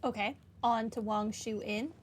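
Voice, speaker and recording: A woman's voice: a little high, fairly even intonation, a little slow, a little hiss or hum. A woman hosting a game playthrough, commenting casually and reading player lines aloud in a wry, conversational way. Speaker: gaming playthrough host.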